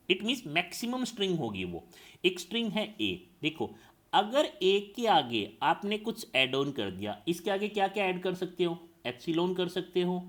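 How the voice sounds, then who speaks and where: A man's voice: on the high side, pushed and bright. A man teaching a classroom lecture, explaining step by step, asking questions and answering them himself, and calling his students children.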